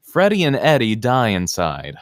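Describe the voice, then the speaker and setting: A man's voice: mid-range voice, huge pitch swings, moderate pace, dry recording, clean studio sound. A man narrating scene descriptions and stage directions at a screenplay table read.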